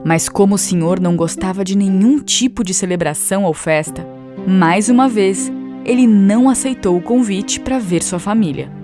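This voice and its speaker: A woman reading scripted narration for an animated children's story. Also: medium pitch, moderate pace, music in the background.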